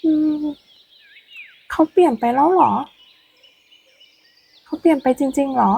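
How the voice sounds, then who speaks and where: A woman's voice: high voice, a little slow, gravelly, mellow, pitched above the performer's own voice, exaggerated voice. A woman voicing an anxious young woman in love, questioning her sweetheart in a hurt manner in a storybook. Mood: worried.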